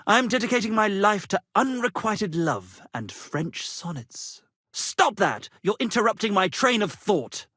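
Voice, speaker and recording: An actor playing a vain, touchy, melodramatic medieval minstrel poet in a video game, with florid, declamatory delivery. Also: male voice, high-pitched, sing-song, unhurried, sharp tone, no echo, studio quality, heavily acted. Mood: lofty, affronted. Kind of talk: video game character acting.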